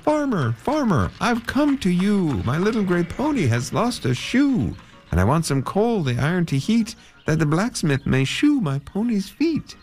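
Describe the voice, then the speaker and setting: A man's voice: huge pitch swings, a little slow, darkish tone, faint music behind, full character voice. A man reading a children's story, voicing a worried traveler who pleads in a raised, anxious voice. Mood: fretful pleading. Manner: high, anxious, repeating pleas. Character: fretful man who lost his pony's shoe.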